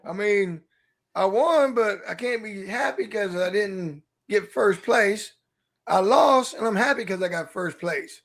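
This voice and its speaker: A man who coaches high school basketball, talking through rules in a thoughtful, searching way on a casual podcast. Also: lively intonation, unhurried, gravelly, a bit bright. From Oklahoma. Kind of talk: casual sports chat.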